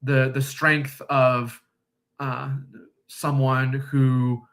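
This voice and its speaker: A man from the United States in a casual discussion, speaking thoughtfully and hesitantly, with many pauses and restarts. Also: medium pitch, flat delivery, fairly bright tone. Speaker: discussion guest.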